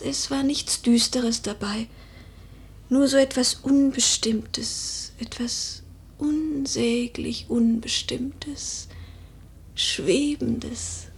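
A proud, wistful young widow of high birth in a radio play. The voice sits fairly high and is very husky and breathy. Phrases are measured and poetic, often sinking into a hush. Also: female voice, exaggerated voice. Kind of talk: German literary radio play acting.

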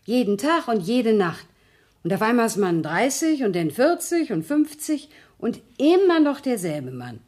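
An actress playing a cynical, weary working-class maid in a 1970s radio play, grumbling in an earthy, sardonic way. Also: female voice, a little high, very animated, a little slow, darkish tone. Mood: wry, world-weary.

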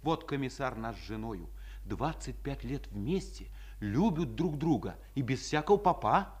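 An actor playing an earnest, lovestruck young soldier who coaxes and pleads, in 1950s Soviet radio theatre. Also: male voice, mid-range voice, expressive, a little slow, slightly rough. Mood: tender coaxing. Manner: coaxing, full of sighs and exclamations.

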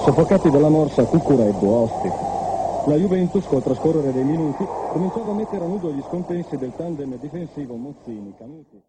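A man reading a formal, ornate football match report as a commentator. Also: a little high. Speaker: sports commentator. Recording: hissy noisy archive broadcast.

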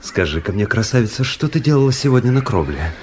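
An actor playing a self-assured, ironic young officer who tells his story in measured, literary fashion in a radio drama. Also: lowish voice, big pitch swings, noisy background. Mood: curious, probing.

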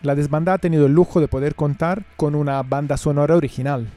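A male radio host from Spain. His mellow, slightly high voice presents and questions in articulate, measured phrases in a studio. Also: steady tone, faint music behind.

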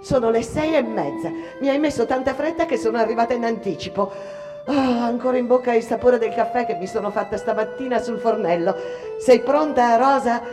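An actress playing a lively, cheeky young immigrant factory girl in a radio drama, chatty, eager and direct. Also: fairly high pitch, lightly acted. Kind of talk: theatrical radio drama acting. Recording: reverberant hall-like sound.

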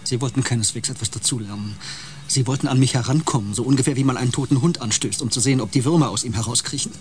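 A man's voice: mid-range voice, racing, darkish tone, lightly acted. Casting: male actor as bitter misanthrope empath, radio drama, medium register, sneering and insulting. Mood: disgusted. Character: hostile, misanthropic male empath on a space expedition. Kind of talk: German radio drama acting.

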